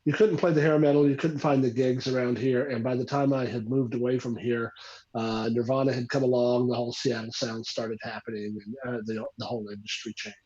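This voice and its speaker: A man's voice: mid-range voice, little pitch movement, fairly quick, a little mellow. A man from North Alabama on a video call, chatting hesitantly about his guitar past. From North Alabama, USA. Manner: hesitant, many uhs and you-knows. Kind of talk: casual chat. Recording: husky voice on a dull lo-fi call line.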